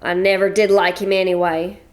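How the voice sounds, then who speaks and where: A woman's voice: lowish voice, level tone, a little fast, slight background noise, close to an everyday voice. A woman voicing a brash, slangy widow who owns a chateau and carries a six-shooter, in an audio drama. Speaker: Mrs. Gibson.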